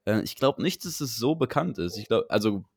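A young man with a fairly low voice, co-hosting a casual podcast. He rambles colloquially and feels his way tentatively through his thoughts. The sound is dry and free of room echo. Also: animated, brisk, gravelly, breathy edge. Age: young adult. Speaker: podcast co-host. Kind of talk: casual podcast chat about music.

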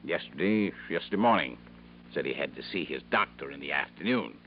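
A man's voice: rumbling low, animated, fairly quick. A man playing a pompous, blustering older factory owner in a 1950s radio drama. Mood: indignant, miserly. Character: gruff, miserly, pompous older businessman, self-righteous factory owner. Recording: dull vintage radio recording, hum.

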